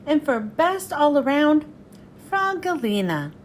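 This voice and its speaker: Animated woman reading a picture book aloud. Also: high voice, slow, a little hiss or hum. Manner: animated, playing up sound words. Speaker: children's book reader. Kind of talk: read-aloud storytelling for kids.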